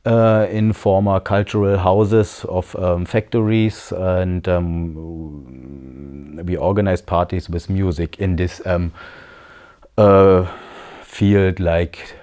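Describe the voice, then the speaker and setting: A man in his forties from East Berlin with a German accent, answering interview questions slowly in English, pausing often with ums and uhs as he searches for words. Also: sits low, warm tone. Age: 40s. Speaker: interviewee, noise musician.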